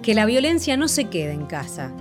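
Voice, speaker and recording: A woman with an Argentine accent reading a public service announcement in a calm, scripted way. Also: medium pitch, moderate pace, music in the background. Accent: Argentine Spanish.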